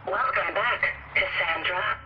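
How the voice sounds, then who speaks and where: An actress playing a cool artificial intelligence system in a video game, making measured, clipped announcements. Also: female voice, on the low side, highs cut off, noisy background. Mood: cool, teasing. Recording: loud music over the voice.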